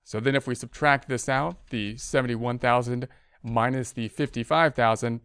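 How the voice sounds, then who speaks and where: A man's voice: medium pitch, fairly even intonation, normal speed, fairly bright tone, clear, resonant tone, dry recording, clean studio sound. A man giving a step-by-step spreadsheet tutorial as an instructor, thinking aloud and often repeating his phrases. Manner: repeats phrases while working through steps. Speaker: accounting instructor.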